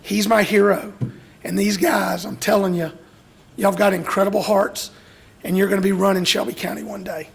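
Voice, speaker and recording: Hoarse middle-aged man, gravelly raspy voice, high pitch, heartfelt emotional speech. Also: fairly even intonation, moderate pace, slight background noise. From Alabama. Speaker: speaker at a youth graduation, father of a boy with Duchenne. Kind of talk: speech to an audience.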